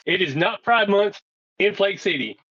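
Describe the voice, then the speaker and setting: A man's voice: high-pitched, animated, moderate pace, fairly bright tone. A man playing a tabletop roleplay game, trading casual, dry, teasing banter in character. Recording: dull, muffled lo-fi table audio.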